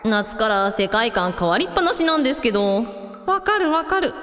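A woman playing expressive, casual-talking members of a village family in a Japanese radio drama. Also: fairly high pitch, brisk. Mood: bemused. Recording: long reverb with music behind.

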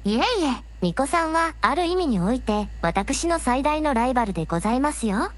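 A woman's voice: high voice, very animated, brisk. A woman playing a polite young fantasy princess who speaks very formally in an audio drama. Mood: gracious, devoted. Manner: very formal, courteous speech. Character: polite, gracious young dragon-clan princess in human form. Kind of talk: Japanese audio drama acting.

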